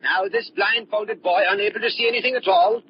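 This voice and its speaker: A man's voice: sits high, fairly even intonation, brisk, close to an everyday voice. An actor playing a sinister, commanding old doctor who boasts like a showman, in 1930s radio style. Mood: showy, commanding. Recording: muffled, noisy 1930s radio recording.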